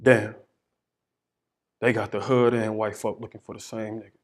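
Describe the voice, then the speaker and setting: A young man performing his poetry aloud, chanting repeated phrases with sweeping swings from hushed murmurs to shouts. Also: on the low side, flat delivery, normal speed. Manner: rhythmic, incantatory delivery with chanted repetitions. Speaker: poet.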